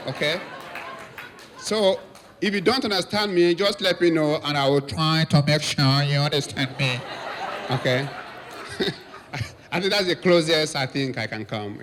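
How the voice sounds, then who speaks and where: A man in his fifties with a Nigerian accent, a priest giving a thank-you speech and telling stories with lively quoted voices. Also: a little high, a little hiss or hum. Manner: storytelling, laughs often, lively quotes.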